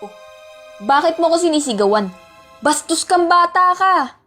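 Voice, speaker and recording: A woman's voice: very high voice, lively intonation, normal speed, bright, forceful tone, full character voice. A woman acting a stern, old-fashioned Filipino mother who scolds her children in an amateur radio drama. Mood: scolding. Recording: music bed behind dialogue.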